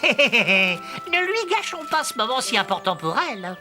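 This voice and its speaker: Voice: mid-range voice, very animated, unhurried. An actor voicing a small, mocking onlooker beetle in a cartoon. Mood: amused. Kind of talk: cartoon character acting. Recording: music behind the voice.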